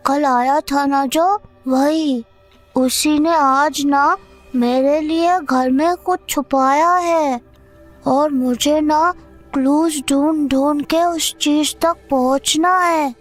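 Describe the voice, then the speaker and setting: A performer playing an excited little boy in a Hindi horror audio drama, eager and insistent. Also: high-pitched, slow, soft background music. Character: excited, pleading little boy on a treasure hunt.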